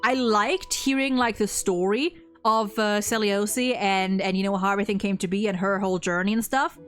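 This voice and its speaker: A young woman co-hosting a casual discussion, talking in an animated, opinionated way with frequent swearing. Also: mid-range voice, normal speed, soft background music. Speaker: video host reviewing a game.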